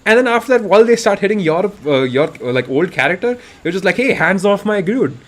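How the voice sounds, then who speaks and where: A man from India doing live, excitable fighting game commentary, his voice getting raspy, swinging between hype and quiet asides. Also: sits high, fairly quick. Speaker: esports commentator.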